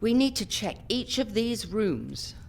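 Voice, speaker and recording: An actress playing a brisk, scolding old care-home ringleader in an audio drama. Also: female voice, mid-range voice, sing-song, vocal fry, slight background noise. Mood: exasperated.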